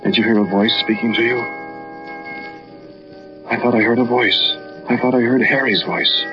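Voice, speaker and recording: An actor playing a haunted man who narrates an eerie tale in a 1940s radio drama, with slow, dramatic, deliberate delivery. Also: mid-range voice, steady tone, dull audio, natural voice. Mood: startled, uneasy. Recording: band-limited 1940s radio recording, music behind.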